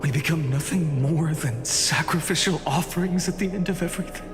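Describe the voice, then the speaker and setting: A man's voice: a little high, brisk, natural voice. A man playing a grave, defiant young hero in a video game, speaking solemnly and with intensity. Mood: bitter. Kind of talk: dramatic video game voice acting. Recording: long reverb, music underneath.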